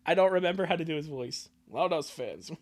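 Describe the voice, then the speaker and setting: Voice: medium pitch, lively intonation, fairly quick. A streamer giving casual, joking livestream commentary while reading a fan comic, with apologetic asides to viewers.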